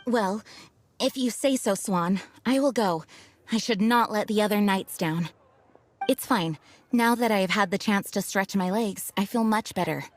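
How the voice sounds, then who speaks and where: An actress playing a composed young knight leader who speaks in a calm, formal, polite way. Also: female voice, medium pitch, unhurried, a little hiss or hum, natural voice. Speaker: acting grandmaster of a knightly order. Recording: game audio with music behind.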